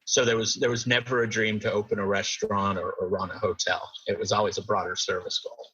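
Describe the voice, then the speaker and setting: Husky bright-toned man, lo-fi sound. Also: lowish voice, little pitch movement. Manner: halting, rephrasing questions with fillers. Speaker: radio interviewer. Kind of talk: interview questions.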